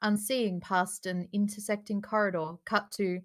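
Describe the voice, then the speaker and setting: A woman's voice: medium pitch, steady tone, normal speed. Woman narrating screenplay stage directions. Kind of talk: scripted narration in a live table read.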